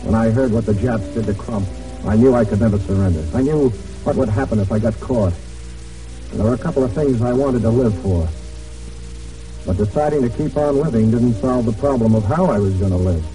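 An actor in an old radio drama playing a hunted American Navy man in hiding, weary but determined, speaking in a halting, earnest way. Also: medium pitch, warm tone, natural voice. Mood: grim resolve. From United States. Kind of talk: old radio drama acting, first-person narration and dialogue. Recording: old radio broadcast, distant, music behind.

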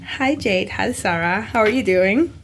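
A woman's voice: medium pitch, animated, measured pace, crowd noise. A woman hosting a podcast interview who gushes and exclaims often. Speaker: podcast host. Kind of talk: scripted intro, then casual interview chat.

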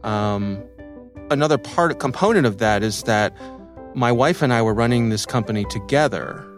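A man's voice: mid-range voice, normal speed, faint music behind. A middle-aged man with an American accent, a podcast host talking through his own story in a conversational, thoughtful way. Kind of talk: reflective personal storytelling for a podcast.

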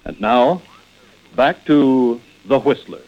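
A man's voice: mid-range voice, slow pace. An American man announcing formally from a script in 1940s radio style, on a muffled old recording.

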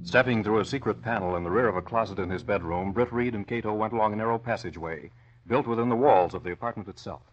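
A man's voice: on the low side, fast, slight background noise. A man announcing and narrating in a dramatic, declamatory 1940s radio style. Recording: muffled old radio recording.

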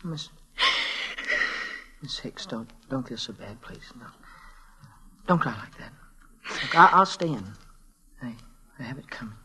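A man's voice: mid-range voice, expressive, unhurried, long pauses, sharp tone. A man playing a scrappy, earnest working teenage schoolboy in a 1940s radio drama, plain-spoken and boyish. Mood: tender, moved.

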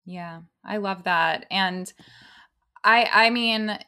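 A woman's voice: medium pitch, takes it slowly, sharp tone, smooth. A woman talking conversationally as a podcast co-host.

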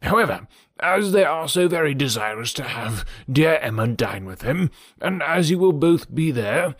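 Man acting a fretful, fussy old gentleman. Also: mid-range voice, breathy edge, no room sound, clean studio sound. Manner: fussy, mournful, deliberate. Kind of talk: audiobook character voice from a classic novel.

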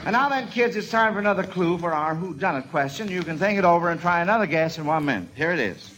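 A man's voice: sits high, animated, fast, a bit bright, rough recording. A man hosting a 1940s radio quiz show in a folksy, avuncular way, on an old radio recording.

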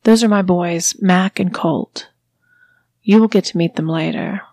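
A woman voicing a wealthy, domineering mistress who talks down in slow, emphatic, mocking phrases. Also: fairly low pitch, a little mellow, no echo, clean studio sound. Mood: gloating. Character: commanding condescending wealthy mistress, adult woman.

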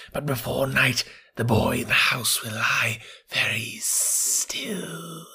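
A man voicing a cold, vengeful female cobra in a slow, hissing whisper. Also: mid-range voice, creaky, bright, forceful tone, breathy edge, pitched above the performer's own voice, full character voice. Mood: menacing. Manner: slow, drawn-out threats.

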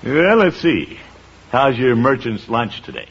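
A man playing a blustery, bumbling middle-aged uncle with a drawn-out, chuckling delivery in an old radio comedy. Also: mid-range voice, wildly swooping pitch, breathy edge, heavily acted. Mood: genial. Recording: muffled, noisy vintage radio recording.

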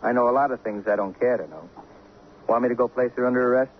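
An actor playing a wry, philosophical, tired police sidekick in a colloquial 1950s radio crime drama style. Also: male voice, medium pitch, fast talker, lightly acted. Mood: resigned, insistent. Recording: dull, faintly noisy old radio recording.

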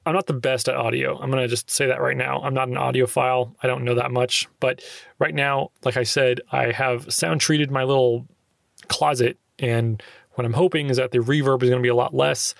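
A young man with a slightly nasal, gravelly voice talks casually as a podcast host, peppering his explanations with fillers. His pitch sits in the middle range. The sound is close and dry, recorded in a small treated closet. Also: no echo. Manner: loose, filler-heavy explaining with frequent "like". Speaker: podcast host answering listener questions. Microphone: handheld recorder close up.

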